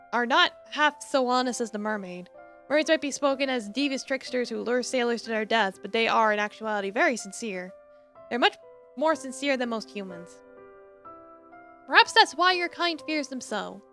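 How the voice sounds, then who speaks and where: A woman streaming a story game, with a fairly high, bright voice. She reads theatrically and slips into casual asides, with faint music behind her. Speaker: live streamer. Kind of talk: dramatic read-aloud of visual novel with casual asides.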